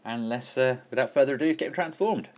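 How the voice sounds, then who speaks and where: A man's voice: medium pitch, quick pace. An enthusiastic man chatting casually through a toy review, full of fillers and restarts. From England.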